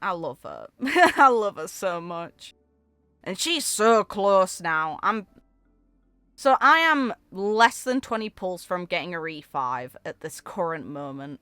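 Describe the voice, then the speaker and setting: A woman giving casual, expressive reaction commentary as a gaming video creator, with informal interjections. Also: fairly low pitch, slow, clear, resonant tone, no echo, faint music behind. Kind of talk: casual reaction commentary, with dramatic reading aloud.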